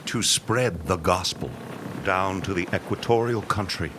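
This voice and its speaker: An actor playing a dying, bitter old reverend in a period audio drama, raspy and strained, grandly accusing. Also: male voice, sits low, noisy background. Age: older. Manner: slow, forceful, grandly accusing. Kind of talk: period radio drama acting.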